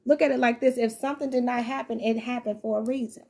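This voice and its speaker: A woman's voice: a little high, fairly even intonation, quick pace, warm tone, husky. A young woman reading tarot cards to the camera from her living room couch. She talks loosely and chattily to her viewers, addressing them as y'all, and reads guidebook passages aloud. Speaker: tarot reader. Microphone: fixed camera a few feet away.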